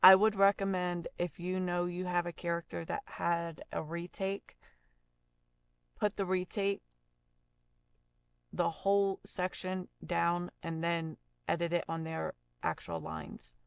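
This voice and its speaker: Female tutorial host, informal and explanatory, lowish gravelly-edged voice, relaxed thinking-aloud delivery. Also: no room sound. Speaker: voice actor giving an audio editing tutorial. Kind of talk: casual screen-recorded software tutorial.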